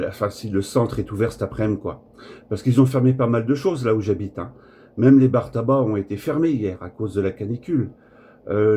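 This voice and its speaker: Husky, mellow man rambling on radio. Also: mid-range voice. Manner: rambling, hesitant, chatty. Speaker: amateur radio operator. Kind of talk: casual radio contact chat.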